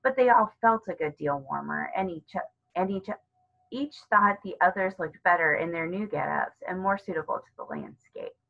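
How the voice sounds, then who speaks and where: A woman narrating a children's novel as a read-aloud, with a husky voice. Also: lowish voice, normal speed, a bit bright, highs cut off. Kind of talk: read-aloud storybook narration with character dialogue.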